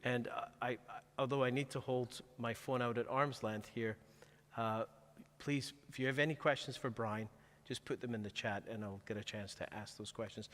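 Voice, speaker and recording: A man speaking as a pastor who hosts a live conversational interview, with a halting delivery that often rephrases itself. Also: medium pitch, steady tone, fairly bright tone. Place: church, before the altar. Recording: long echoey reverb of a large hall.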